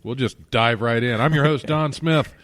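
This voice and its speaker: A man's voice: mid-range voice, moderate pace, a bit bright, no echo. A man hosting a casual comedy podcast in a comedy club showroom, joking and laughing often. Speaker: host.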